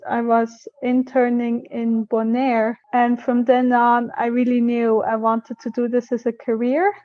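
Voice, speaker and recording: A woman's voice: on the high side, dry recording. Swiss-raised woman scientist, muffled remote line. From Switzerland. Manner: plain, earnest storytelling with small restarts. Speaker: guest scientist. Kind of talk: casual interview answers.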